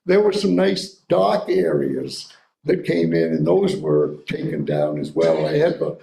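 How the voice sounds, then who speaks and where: Gravelly, animated man in echoey hall. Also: medium pitch, a little slow. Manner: thoughtful, hedging, restarts phrases. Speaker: local historian giving a public talk. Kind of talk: lecture and audience Q&A. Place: library meeting room. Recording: echoey room, faint hiss.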